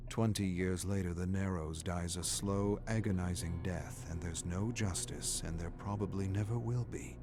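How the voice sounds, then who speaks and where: A man reading a scripted story as a dramatic narrator, grave and deliberate. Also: deep voice. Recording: music playing behind the narration. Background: background music.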